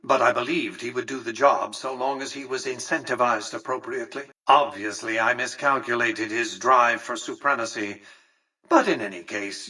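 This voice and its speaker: An actor voicing an imperious, calculating tycoon in a video game, speaking in a pompous, articulate, measured and condescending way with a husky voice. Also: male voice, medium pitch, pushed and bright, far from the mic, low-quality recording. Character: imperious, calculating, aristocratic male casino tycoon and mastermind. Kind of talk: theatrical video game character dialogue.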